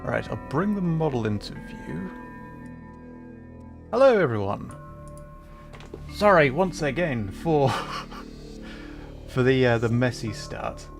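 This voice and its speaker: Male streamer, mid pitch, rambling and apologetic, music plainly under his voice. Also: animated, slow, long pauses. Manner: rambling, self-deprecating, apologetic. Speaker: VTuber streamer. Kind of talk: casual livestream chat. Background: background music.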